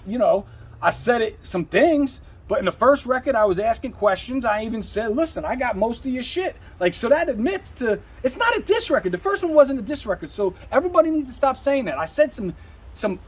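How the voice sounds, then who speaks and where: A man with a husky voice telling his story casually, in emphatic, repetitive, slangy talk with frequent swearing. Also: high voice, low-quality recording. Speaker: rapper, interview guest. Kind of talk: casual rambling personal storytelling.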